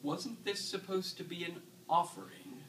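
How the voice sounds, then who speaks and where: A man's voice: high-pitched, fairly even intonation, normal speed, fairly bright tone. A man playing a haughty, fussy ancient dragon with a big appetite, in a theatrical, grumbling storybook reading. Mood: grumbling, sulky. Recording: echoey room, distant mic, crowd noise.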